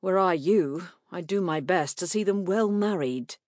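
A woman voicing a cold, dismissive gentleman father with a flat, crisp delivery in an audiobook. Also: lowish voice, unhurried, dry recording, studio quality, close to an everyday voice.

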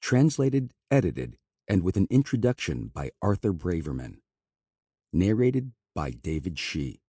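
A man reading an audiobook as narrator, in measured, even scripted narration, close to a studio mic. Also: low-pitched, slightly rough, dry recording.

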